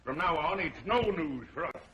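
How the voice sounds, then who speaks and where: An actor playing a forceful, domineering man arguing about police news in an old crime film. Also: on the high side, expressive, normal speed. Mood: forceful protest. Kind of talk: 1930s film acting. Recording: echoey, distant, muffled old film sound.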